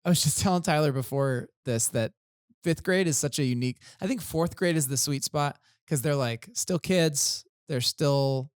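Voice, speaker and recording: A man's voice: on the high side, normal speed, clear, resonant tone, no echo, studio quality. A man who is a pastor and podcast regular, chatting casually in a nerdy, self-deprecating way. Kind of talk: casual church podcast chat.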